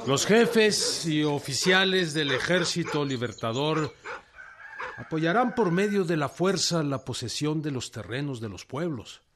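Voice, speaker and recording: An actor playing a solemn, defiant Mexican revolutionary leader, declaiming proclamations formally in a radio drama. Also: male voice, a little high, lively intonation, unhurried, a crowd in the background. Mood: firm, solemn. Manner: oratorical, emphatic, formal.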